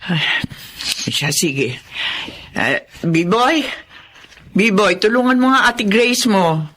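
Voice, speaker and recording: A woman's voice: medium pitch, animated, drawn-out words, a bit bright, heavily acted. An actress playing a caring, faithful middle-aged mother, earnest and motherly, in a Filipino radio drama. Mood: concerned, fussing.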